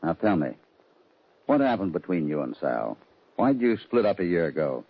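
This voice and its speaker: An actor playing a calm, steady Old West cowboy hero in an old-time radio western. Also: male voice, sits low, normal speed, husky, exaggerated voice. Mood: calm, reassuring. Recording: dull, noisy old radio recording with clicks.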